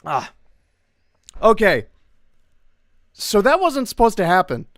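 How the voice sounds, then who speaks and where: A man's voice: sits high, huge pitch swings, slow, a bit bright, no room sound, studio quality. A young man chatting casually as he hosts a livestream, talking in a rambling, hesitant way and breaking off his own sentences. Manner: rambling, hesitant, self-interrupting. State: occasional coughing from long covid. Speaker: livestream host.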